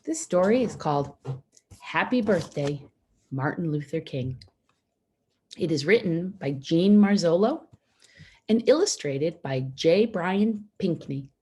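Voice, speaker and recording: A woman reads a children's storybook aloud at a slow pace. Her pitch moves in lively swings, and her voice has a husky edge. She phrases things simply, leaving pauses so young listeners can follow. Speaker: storybook reader for schoolchildren. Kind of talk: read-aloud children's picture book narration.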